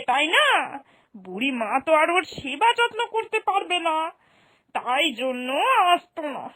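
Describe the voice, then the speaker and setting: Woman doing a nagging older mom from a cartoon, high voice, really up and down, sharp tongued and reproachful. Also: heavily acted. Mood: complaining. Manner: sharp-tongued, reproachful, scolding with rhetorical questions. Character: exasperated scolding older mother and mother-in-law. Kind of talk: cartoon moral-story voice acting.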